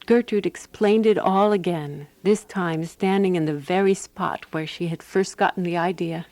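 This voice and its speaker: A woman actor playing a composed, wry woman who narrates a radio drama in a measured, dry manner. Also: on the low side, darkish tone, no room sound, natural voice.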